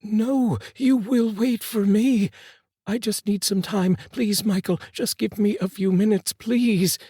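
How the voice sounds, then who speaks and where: A man's voice: fairly even intonation, takes it slowly, dark tone, breathy edge, no room sound, clean studio sound, heavily acted. A man voicing Ariana, a frantic grieving mother. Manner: pleading, raised high voice.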